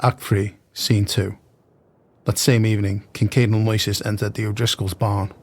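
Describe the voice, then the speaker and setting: A man's voice: on the low side, flat delivery, normal speed, no echo. A man reading scripted stage directions as narrator in a detached, matter-of-fact way.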